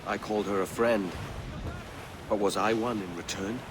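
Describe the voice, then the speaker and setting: Man as a low-voiced, brooding pirate captain. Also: fairly even intonation, moderate pace, noisy background, lightly acted. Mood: wistful. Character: brooding, seasoned male pirate captain, quietly reflective. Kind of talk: dramatic video game acting.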